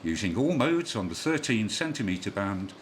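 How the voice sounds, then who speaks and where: A man's voice: on the low side, very animated, normal speed. A man reading a scripted amateur radio news bulletin in a careful, formal way, spelling things out phonetically. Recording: noisy shortwave single-sideband radio broadcast.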